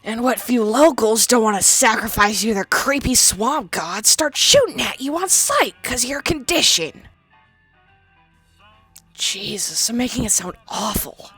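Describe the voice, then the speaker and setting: Woman voicing a husky, foul-mouthed ghoul girl. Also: on the high side, expressive, a little slow, a bit bright, dry recording, faint music behind, full character voice. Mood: wry. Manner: blunt, crude and defensive. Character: sardonic foul-mouthed young female ghoul, ex-psych student. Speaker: companion character. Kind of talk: fan-voiced game dialogue.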